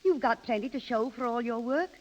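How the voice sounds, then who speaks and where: An actress playing a vain, restless middle-aged American wife, coy and demanding, in 1930s radio drama style on an old broadcast. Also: female voice, a little high, a little fast, lo-fi sound, close to an everyday voice. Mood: coaxing.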